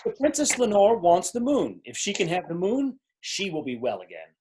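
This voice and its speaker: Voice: mid-range voice, huge pitch swings, normal speed, a little mellow, a little hiss or hum. An amateur reader playing an imperious, impatient king and doting father in a readers theater story. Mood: commanding.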